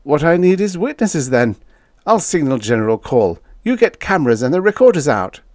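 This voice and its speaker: A man performs an excited space explorer in a science fiction audiobook. He is loud and exclamatory, pitched well up, with swooping, animated delivery. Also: normal speed, dry recording, clean studio sound. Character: excited male space explorers sighting alien humans.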